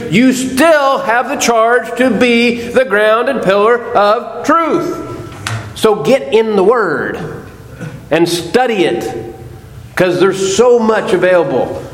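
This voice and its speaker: A man preaching a sermon to a congregation, explaining scripture and putting questions to his listeners. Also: very high-pitched. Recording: echoey hall.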